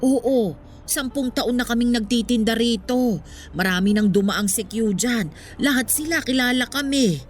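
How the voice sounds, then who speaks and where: A woman's voice: a little high, moderate pace, a little hiss or hum, close to an everyday voice. A woman playing an elderly street vendor in a radio drama, nagging and coaxing by turns. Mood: concerned, pleading. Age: older. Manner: nagging with husband, coaxing with guard. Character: elderly female street balot vendor, bossy yet pleading.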